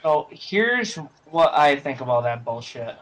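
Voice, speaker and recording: A man's voice: mid-range voice, sing-song, a little slow, a bit bright, low-quality recording. A man giving opinions casually on a sports podcast, blunt and emphatic in how he talks. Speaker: wrestling podcast panelist.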